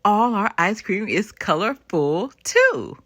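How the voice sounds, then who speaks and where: A woman's voice: mid-range voice, big pitch swings, slow pace, a bit bright, no echo, pitched above the performer's own voice, exaggerated voice. A woman voicing a cheerful, observant young girl in a children's storybook, earnest in manner. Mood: pleased. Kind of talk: children's storybook read-aloud.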